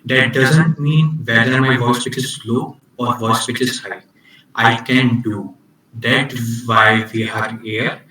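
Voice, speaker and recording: Give me a slow, halting man with a bright voice on a video call, sounding distant and roomy. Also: medium pitch, steady tone, a little hiss or hum. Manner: slow, halting, many restarts and fillers. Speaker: club orientation presenter. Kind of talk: online talk on communication skills.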